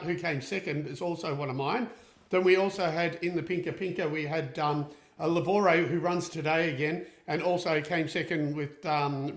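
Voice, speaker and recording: A chatty man with a fairly high voice hosts a relaxed live Q&A, upbeat and full of fillers and restarts. The sound rings with the echo of a hard room. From Austria. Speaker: equine therapist and show host. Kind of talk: casual live Q&A chat. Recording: echoey room.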